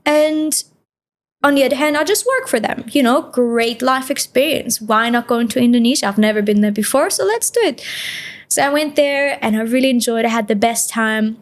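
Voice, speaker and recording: A woman from Switzerland, a podcast guest telling her story in a chatty, animated way with many fillers. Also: a little high, normal speed. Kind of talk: conversational interview storytelling.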